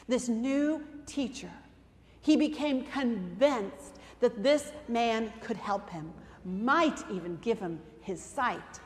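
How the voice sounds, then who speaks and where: A woman's voice: sits high, a bit bright, heavily acted. A woman playing a devoted, proud mother in a dramatic monologue, telling her story emphatically with conversational asides. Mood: earnest, awed. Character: devoted, proud mother of a blind beggar son. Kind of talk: dramatic monologue reading at a church service. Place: church, during a service. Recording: reverberant church hall.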